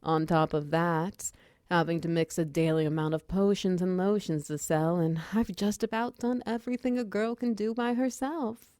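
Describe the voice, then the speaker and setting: A friendly minotaur girl who runs a magic potion shop, acted by a woman in an ASMR roleplay. Her voice sits a little low for a woman, with a chatty, giggly, slightly flustered manner. She talks straight to the listener, close and very dry. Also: steady tone, moderate pace, darkish tone, smooth, no echo, lightly acted. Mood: busy, apologetic. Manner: chatty, giggly, casual and conversational. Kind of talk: roleplay ASMR audio drama, addressing the listener.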